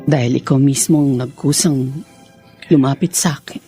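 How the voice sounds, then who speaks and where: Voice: medium pitch, steady tone, warm tone, soft background music, close to an everyday voice. A performer playing a remorseful mother begging her son's forgiveness in a radio drama. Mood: pleading. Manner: pleading, slow.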